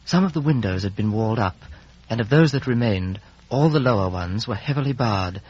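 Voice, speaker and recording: An actor playing a grown man soberly recalling his boyhood in scripted radio narration, with a husky voice. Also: fairly low pitch, expressive, brisk, a little mellow, no echo. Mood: sober, reflective. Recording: dull vintage radio broadcast.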